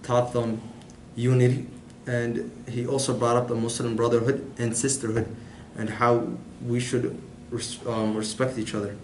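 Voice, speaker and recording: A man delivers a prepared class presentation, hesitating often and filling gaps with "um." His delivery is flat, with little rise or fall in pitch. The room is echoey and has a faint hiss of background noise. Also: unhurried, few pauses. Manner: hesitant, many "um" fillers. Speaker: student presenter.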